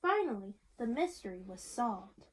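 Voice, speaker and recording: A woman reading a picture book aloud to young listeners in an animated way, chatting and asking them questions as she goes. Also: a little high, moderate pace, slight background noise, low-quality recording. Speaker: reader of a picture book to children. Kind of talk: read-aloud storytelling with chat to young listeners.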